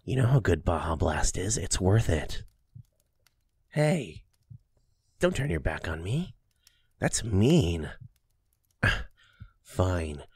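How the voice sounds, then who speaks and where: A man playing a doting, teasing young boyfriend in an intimate ASMR roleplay, speaking softly and affectionately. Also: sits low, very animated, takes it slowly, pauses often, breathy edge, no room sound, clean studio sound, full character voice. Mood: playful, loving. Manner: soft, affectionate, playfully teasing, with chuckles and kisses.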